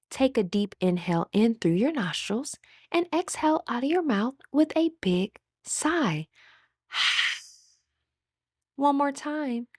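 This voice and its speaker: A woman leading a guided meditation in a measured, instructive way, with drawn-out phrases and audible breaths. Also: high voice, darkish tone, no echo, clean studio sound.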